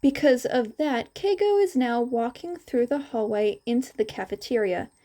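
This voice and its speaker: A woman's voice: fairly high pitch, lively intonation, moderate pace, mellow. A woman narrating a scripted story aloud as a podfic reader.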